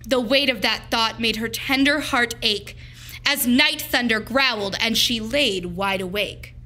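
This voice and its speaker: A woman teacher reading a picture book aloud to children in an expressive, deliberate, emphatic storytelling manner. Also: a little high.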